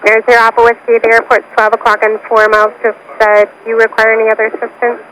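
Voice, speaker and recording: Woman, air traffic controller, rapid clipped instructions, aviation radio, clearly noisy transmission. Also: a little high, completely flat delivery, a bit bright. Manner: clipped procedural phrasing. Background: radio static and aircraft cabin noise.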